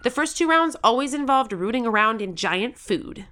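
A woman's voice: a little high, lively intonation, normal speed, sharp tone, a little hiss or hum. A woman playing an eager, competitive thirteen-year-old girl who tells her own story in an audio drama. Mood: eager storytelling. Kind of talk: first-person audio drama narration.

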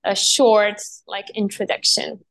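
A young woman with a Serbian accent answers questions over a video call, in an unhurried, relaxed way. She often breaks into easy laughter between her answers. Her voice sits at a middle pitch. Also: gravelly, poor audio. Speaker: actress guest.

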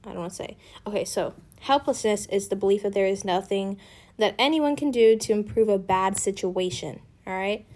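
Young female podcast host, a bit raspy from illness, candid rambling delivery. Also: mid-range voice, moderate pace, darkish tone. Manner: candid, rambling, with frequent restarts. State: raspy from feeling unwell. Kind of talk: casual personal podcast monologue.

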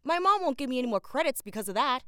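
A woman's voice: high voice, big pitch swings, racing, sharp tone, clean tone, exaggerated voice. A woman playing a broke, sardonic young schoolteacher in a sitcom audio drama, exasperated in manner.

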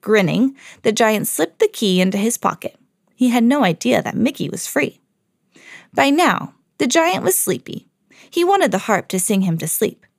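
A woman storyteller hosting a podcast, reading a children's fairy tale expressively with clear enunciation. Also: fairly high pitch, very animated, moderate pace, no room sound, clean studio sound.

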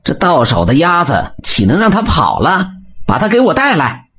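A spoiled young rich bully from an audio storybook, pitched high and shrill with a raspy edge, as a man puts him on. His voice swings widely between sneering demands and wheedling. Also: wildly swooping pitch, normal speed, fairly bright tone, heavily acted. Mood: arrogant. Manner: shrill, arrogant, later whining.

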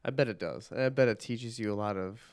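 Twenties male guest from Illinois, lowish voice, hesitant delivery, dry close sound. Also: clean tone, dry recording. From Illinois, USA. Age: 20s. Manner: hesitant, halting, mild-mannered. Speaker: police officer, podcast guest. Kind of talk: casual chat.

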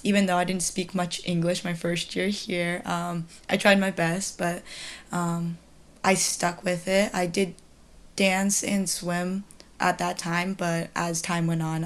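A woman's voice: lowish voice, a little slow, vocal fry. A young woman in her twenties, a student athlete whose first language is Mandarin, answering interview questions with many hesitant ums. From China. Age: 20s.

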